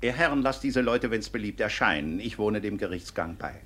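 An actor playing a stern, dignified court inspector in a classical German radio play, formal, clipped and authoritative. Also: male voice, on the low side, expressive, fairly quick, fairly bright tone, husky, full character voice. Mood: brisk, impatient.

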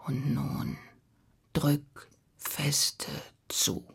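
Lady doing a growly low wolf, gruff and knowing, half whispered, storybook. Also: extremely slow, heavily acted.

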